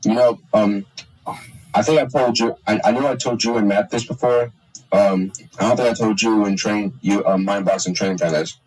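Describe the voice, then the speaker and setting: A young man chatting casually on a stream, halting with many restarts and fillers. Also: fairly low pitch, steady tone, a little mellow, distant mic, rough recording. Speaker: streamer and hobby voice actor. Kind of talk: casual chat on a live stream.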